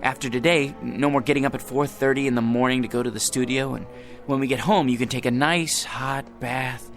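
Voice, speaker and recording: A man voicing a mild, devoted husband who stammers and hesitates. His voice sits at an ordinary middle pitch. It is a radio drama. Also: steady tone, a little fast, faint music behind, lightly acted. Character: meek devoted husband of a film star.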